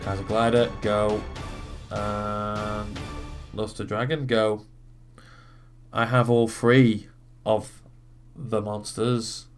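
A man's voice: fairly low pitch, little pitch movement, very slow, pauses often. A man giving casual let's play commentary, swearing freely and thinking his moves through aloud. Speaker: gaming video host. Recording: game music behind the voice. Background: video game music.

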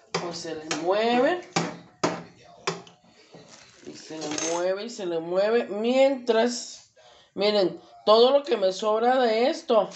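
A woman's voice: mid-range voice, highs cut off, background noise. A woman with a Mexican accent, chatting casually through a cooking demonstration in her home kitchen. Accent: Mexican Spanish. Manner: slow, chatty, many fillers. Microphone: camera across the stove.

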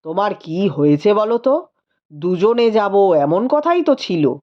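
A woman's voice: medium pitch, very animated, a little slow, muffled recording, pitched below the performer's own voice. A woman voicing a learned, caring husband with a formal, questioning manner in a storybook reading. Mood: puzzled, questioning. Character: learned physician husband, adult man, caring, puzzled.

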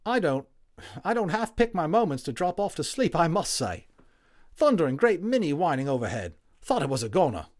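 A man's voice: a little high, big pitch swings, normal speed, darkish tone, pitched above the performer's own voice. A man voicing a talkative Cockney First World War army medic in a storybook reading. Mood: chatty. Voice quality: chatty, rough-and-ready working-class speech.

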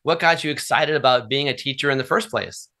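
A man's voice: mid-range voice, brisk, sharp tone. A man hosting a podcast, upbeat and chatty, reading a scripted intro then interviewing a guest. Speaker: podcast host.

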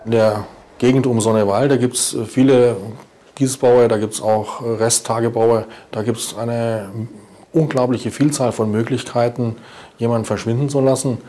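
A man's voice: mid-range voice, level tone, normal speed, mellow. A man in his fifties speaking as a prosecutor in a formal interview. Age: 50s. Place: indoor office.

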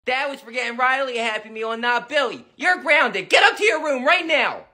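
A man's voice: extremely high pitch, lively intonation, a little fast, pushed and bright, exaggerated voice. A man voicing a bossy grandmother in a family comedy skit, blunt and commanding yet doting on her grandbabies. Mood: scolding.

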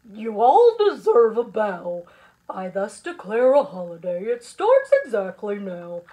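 A woman's voice: medium pitch, sing-song, measured pace, warm tone, voice pushed down, low-quality recording. A woman voicing a fretful, weepy male school principal from a children's storybook, with theatrical, declamatory delivery. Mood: pompous, proud. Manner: theatrical, sobbing, declamatory announcements.

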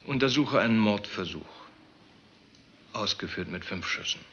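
An actor playing a suspicious, sardonic police detective in a radio crime drama, asking pointed, persistent questions. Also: male voice, lowish voice, lively intonation, moderate pace, a bit bright, muffled recording. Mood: suspicious, probing. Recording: faint background noise.

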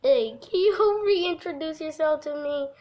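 A woman's voice: high-pitched, unhurried, dull audio. A giggly woman hosting a casual game livestream, chatting and reading aloud from a visual novel. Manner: giggly, breaks off into laughter. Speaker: livestream host.

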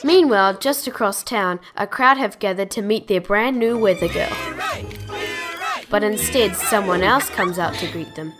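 A woman's voice: mid-range voice, moderate pace, music in the background, a crowd in the background. A woman narrator reading a scripted children's adventure story in storybook style.

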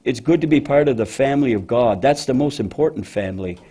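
A man voicing a patient, motherly female cow with a deep voice in a children's church puppet show, gently and hesitantly explaining things. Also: expressive, fast talker, husky.

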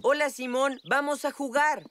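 A woman's voice: sits high, steady tone, normal speed, very noisy, lightly acted. A woman voicing a cheerful, eager young boy rabbit in a children's cartoon, with a Latin American Spanish accent and a playful manner. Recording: music behind the voice.

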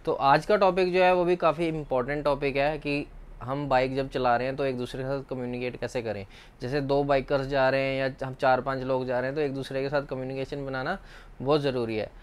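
A man's voice: mid-range voice, little pitch movement, fairly quick, smooth. A man in his thirties with an Indian accent, explaining products to camera in an informal, instructive vlog style. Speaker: vlog host and bike accessories seller. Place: small indoor accessories shop.

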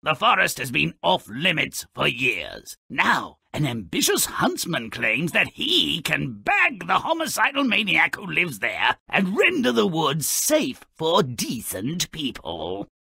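A man voicing a sardonic, mischievous, grotesque little fairy-tale creature who narrates a video game with raspy, theatrical, gleefully macabre sarcasm. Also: fairly high pitch, huge pitch swings, slow, hardly any pauses, sharp tone, no echo, clean studio sound, exaggerated voice. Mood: mock-dramatic storytelling. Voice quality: raspy, gravelly. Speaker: character narrator.

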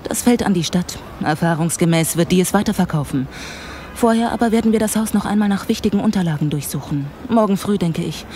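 An actress playing a composed, teasing police investigator in a German audio drama, speaking in an unhurried, matter-of-fact way. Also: female voice, lowish voice, mellow, slight background noise, natural voice. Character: composed, teasing female Scotland Yard investigator.

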